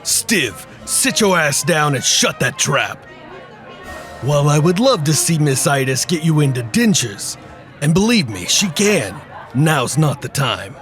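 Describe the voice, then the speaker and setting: An actor playing a huge, menacing mob boss who talks bluntly and gives orders in a period radio drama. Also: male voice, a little high, very animated, measured pace, a bit bright, soft background music. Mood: commanding. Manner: blunt, commanding.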